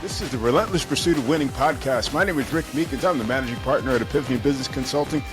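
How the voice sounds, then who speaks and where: A man's voice: mid-range voice, racing. Upbeat male podcast host over faint music. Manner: upbeat, promotional intro patter. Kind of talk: podcast intro and interview hosting. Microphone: studio microphone.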